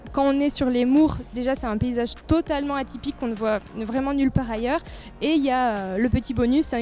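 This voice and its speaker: A woman with a fairly high voice speaks as a tourist office representative in an informal interview. She sounds enthusiastic as she describes what is on offer, with faint music playing behind her. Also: warm tone. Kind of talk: informal promotional interview, describing local trails.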